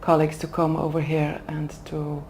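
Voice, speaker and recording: Woman, deep and mellow tone, careful halting in an interview. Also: completely flat delivery, slight background noise. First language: Dutch. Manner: careful, hesitant, pauses to find words. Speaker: executive development manager. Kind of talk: interview answers in a second language. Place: language school in Oxford.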